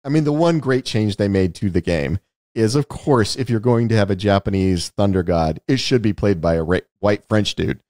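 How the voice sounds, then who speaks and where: A man's voice: on the low side, moderate pace, darkish tone, dry recording, clean studio sound. A man hosting a casual film discussion podcast, giving opinions with wry, sarcastic asides. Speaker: podcast host.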